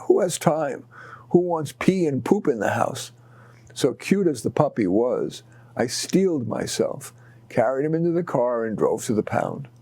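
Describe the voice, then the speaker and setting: A man with a mid-pitched voice reads short stories aloud. He tells them expressively and deliberately, pausing between short phrases for drama. The recording is dry. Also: mellow, slightly rough, no echo. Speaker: author reading own short stories. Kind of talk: expressive read-aloud storytelling.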